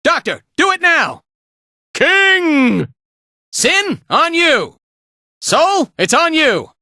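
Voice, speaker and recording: Spirited video game battle guide, bright forceful tone, breezy and colloquial, swooping intonation. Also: male voice, very high-pitched, sing-song, very slow, no room sound, clean studio sound, full character voice. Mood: excited, urgent. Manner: breezy, colloquial, tagging lines with casual check-ins. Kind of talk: animated video game voice acting.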